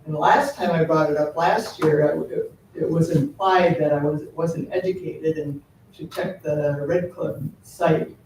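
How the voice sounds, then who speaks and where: A man's voice: on the high side, fairly even intonation, measured pace, darkish tone, a little hiss or hum. A man giving public comment at a council meeting, plain-spoken and hesitant, with many uhs. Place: city council chamber. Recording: echoey council chamber, distant mic.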